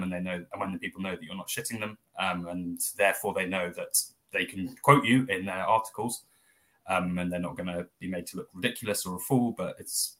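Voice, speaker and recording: Fast-talking low-voiced man. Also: pushed and bright. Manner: fast, rambling with many fillers. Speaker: interview guest, press office founder. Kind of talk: casual spoken interview.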